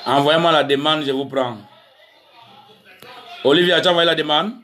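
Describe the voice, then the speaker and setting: Brief: middle-aged man from Cameroon, heated live-stream host, bright forceful voice, pitched a bit high. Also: fairly even intonation, normal speed, soft background music. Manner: angry and emphatic, then fumbling and muttering.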